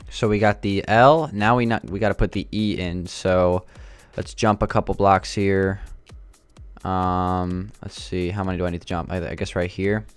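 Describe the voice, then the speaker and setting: Young male gaming host, low voice, a touch raspy, hesitant, unhurried chatter. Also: steady tone, no echo, faint music behind. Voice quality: slightly raspy from yelling. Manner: thinking aloud, hesitant, many fillers. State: sore throat. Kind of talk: casual gameplay commentary.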